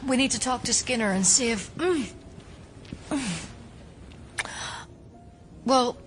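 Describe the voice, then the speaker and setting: A woman's voice: on the high side, expressive, takes it slowly, a little hiss or hum. Guarded, anxious female FBI agent. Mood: worried. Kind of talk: audio drama acting.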